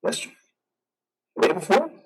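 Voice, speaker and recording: A man playing a traumatized soldier husband in a stage-style drama, halting and brooding but prone to explosive anguish. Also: distant mic, lightly acted. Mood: dazed, brooding. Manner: halting, brooding speech breaking into anguished shouts.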